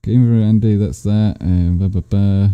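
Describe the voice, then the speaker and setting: A man's voice: fairly even intonation, a little slow, clear, resonant tone, dry recording, highs cut off. A man from Scotland hosting a live stream, reading chat comments aloud with dry, teasing, rambling asides. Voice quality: bassy voice. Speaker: stock stream host. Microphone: desk microphone.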